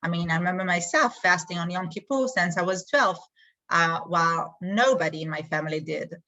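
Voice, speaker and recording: A woman with an Israeli accent, answering interview questions in a thoughtful way with many pauses. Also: on the low side, bright, forceful tone, lo-fi sound. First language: Hebrew. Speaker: guest, community emissary.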